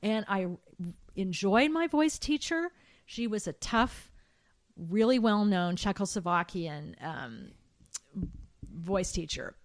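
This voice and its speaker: A middle-aged woman, a trained soprano, recalling her past in an animated way, with fillers and restarts. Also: slow pace, no echo, studio quality. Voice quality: high soprano singer. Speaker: guest. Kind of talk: conversational interview.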